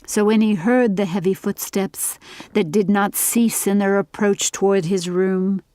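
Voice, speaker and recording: Lady reading a story audiobook, lowish voice, unhurried and measured, very dry studio sound. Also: steady tone, mellow, no room sound. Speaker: audiobook narrator. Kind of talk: scripted storytelling narration.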